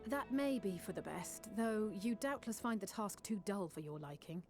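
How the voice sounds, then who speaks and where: A woman's voice: medium pitch, expressive, moderate pace, a little mellow, faint music behind, close to an everyday voice. A woman playing a poised, formal fantasy adventurer in a video game, speaking articulately. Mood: inquiring. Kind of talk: video game cutscene acting.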